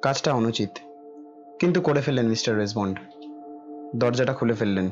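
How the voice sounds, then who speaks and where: A man's voice: mid-range voice, brisk, darkish tone, faint music behind. Male narrator of a suspense story, slightly dull sound. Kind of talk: dramatic scripted horror story narration.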